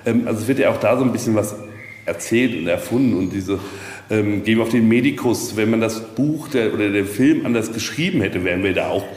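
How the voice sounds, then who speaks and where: A man's voice: fairly low pitch, fairly quick, a little mellow. Middle-aged man in a very echoey space. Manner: rambling, circling explanations. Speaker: castle representative and guide. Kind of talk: casual explanatory interview talk. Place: reverberant space at a castle. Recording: echoey hall, faint ambient noise.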